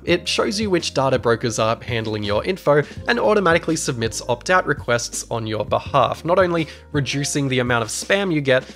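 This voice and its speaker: A man's voice: a little high, a bit bright. A young Australian man narrating a scripted video essay about an old game, casual and wry, with dry asides. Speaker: YouTube host. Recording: faint music underneath.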